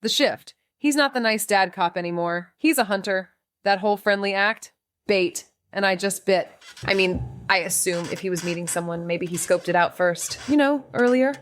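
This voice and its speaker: An actress playing a defiant young amateur sleuth in a noir audio drama, blunt and slangy, with anxious sardonic edge. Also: female voice, medium pitch, normal speed, a little hiss or hum, close to an everyday voice. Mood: tense, rattled. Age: young adult. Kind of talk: noir audio drama dialogue and inner narration.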